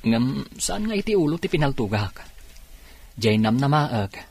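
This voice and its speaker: A man with an Ilocano accent acting in a radio drama as an earnest wounded policeman and devoted son, his voice slightly husky. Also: medium pitch, sing-song, measured pace, a little mellow.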